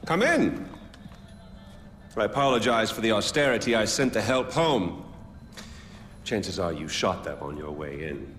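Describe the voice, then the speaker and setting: An actor playing a flamboyant, sardonic, self-assured tyrant king in a video game, with mock-polite phrasing and deliberate pauses. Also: on the low side, expressive, fairly bright tone, a little hiss or hum. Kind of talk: video game cutscene acting.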